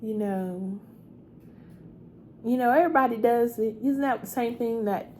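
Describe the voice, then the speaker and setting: Slow, soft-spoken gossipy receptionist played by a woman. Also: on the high side, slight background noise, lightly acted. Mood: chatty, self-justifying. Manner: confiding, chatty, repeats words. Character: sweet, chatty, gossipy female hotel receptionist. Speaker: ASMR roleplay performer. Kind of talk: soft-spoken ASMR roleplay.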